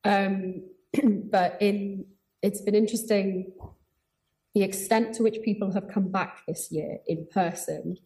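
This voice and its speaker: Croaky, mellow, tired woman. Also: mid-range voice, fairly even intonation, slow, rough recording. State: tired after a festival. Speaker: horror festival co-director, remote panellist. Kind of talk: informal panel talk. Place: remote, joining by video call. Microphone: video call.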